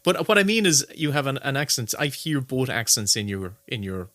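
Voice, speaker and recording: A man co-hosts a casual movie commentary, jumping between jokes and laughter and often stalling on fillers. His voice is bright and forward at a middling pitch. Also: moderate pace, no room sound. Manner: excitable, laughing, lots of uh fillers. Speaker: podcast co-host. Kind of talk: casual film commentary chat.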